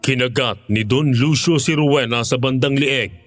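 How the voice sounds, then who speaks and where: Horror narration: male actor as former aswang hunter, mid-pitched, measured and grave, faint music underneath. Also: close to an everyday voice. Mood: grim. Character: former male aswang hunter recounting his grim experience. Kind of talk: dramatic horror story narration in Tagalog.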